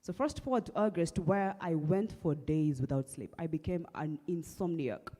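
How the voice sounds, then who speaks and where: A young Kenyan woman with a husky voice, earnestly telling her personal story at an awareness event, with halting restarts. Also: sits low, expressive, mellow. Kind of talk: personal testimony talk. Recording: long hall reverb.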